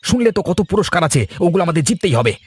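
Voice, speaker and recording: A man voicing an eager, pushy village fellow in a comic cartoon, excitable and insistent. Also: sits high, racing, slightly rough, no room sound, heavily acted. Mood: eager, scheming. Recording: music under the voice.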